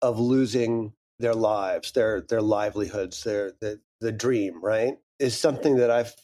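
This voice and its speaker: A man's voice: lowish voice, a little slow. A man, the creator of an audio drama, talking reflectively and animatedly in an interview about his writing. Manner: reflective, animated, thinks aloud. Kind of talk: interview conversation.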